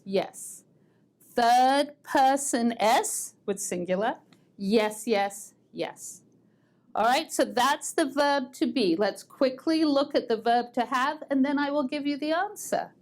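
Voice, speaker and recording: Slow, patient American woman teaching English. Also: high voice. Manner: slow, patient, repeats words for drilling. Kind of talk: online grammar lesson. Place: language school in Boston.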